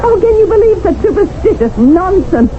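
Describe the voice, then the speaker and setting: A woman's voice: very high-pitched, very animated, normal speed. A woman playing an impatient, opinionated English visitor who scolds and talks without stopping in a 1970s radio drama. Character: brusque, opinionated English visitor, sharp-tongued. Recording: noisy, muffled old radio broadcast.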